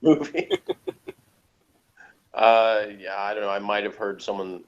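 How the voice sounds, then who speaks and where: A man with an American accent and a fairly deep voice chats casually as a review co-host. His delivery is wry and joking. The recording is somewhat dull and lo-fi. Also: flat delivery, unhurried. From United States. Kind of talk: casual jokey review chat.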